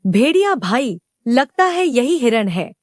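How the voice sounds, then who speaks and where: A woman voicing a gentle, cautious, wise female goat in a cartoon moral story, earnest and polite. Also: a little high, big pitch swings, a little slow, no room sound, clean studio sound.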